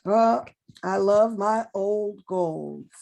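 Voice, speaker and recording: A woman's voice: mid-range voice, very slow, gravelly, darkish tone, slight background noise. A woman playing a reserved, polite middle-aged male busboy in an amateur drama table read, plainspoken and understated.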